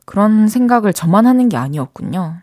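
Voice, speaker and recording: A woman's voice: mid-range voice, lively intonation, fairly quick, warm tone, no echo. A woman radio DJ with a southeastern Korean dialect, chatting and laughing as she reads listener messages in a studio. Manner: chatty, friendly, laughs often. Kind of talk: reading and answering listener messages. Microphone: studio microphone.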